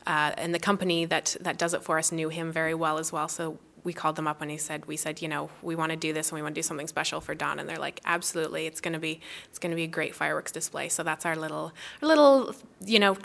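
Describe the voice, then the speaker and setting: A woman with a voice a little on the low side talks casually in an interview. She is chatty and upbeat, doubling back over words as she explains things. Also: creaky, a bit bright. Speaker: festival organizer, interview guest.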